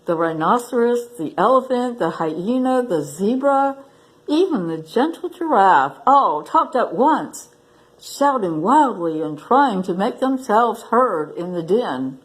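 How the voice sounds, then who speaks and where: Female children's story reader. Measured pace, animated intonation, expressive read-aloud style. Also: a little high, low-quality recording. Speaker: library staff story reader.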